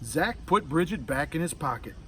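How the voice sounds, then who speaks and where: A man reading a children's storybook aloud in an expressive, animated style. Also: fairly high pitch, normal speed. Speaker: storybook reader. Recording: distant mic with faint background noise.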